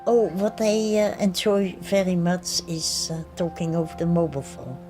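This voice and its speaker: An older woman speaking haltingly about her own daily life. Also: on the low side, steady tone, dark tone. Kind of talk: personal testimony. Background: faint music.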